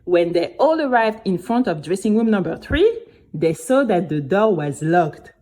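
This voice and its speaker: A woman's voice: on the low side, moderate pace, close to an everyday voice. A woman playing a spirited young girl who recounts her adventure to friends in a chatty, dramatic first-person audio story. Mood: excited, dramatic. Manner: animated, chatty, addresses listeners directly.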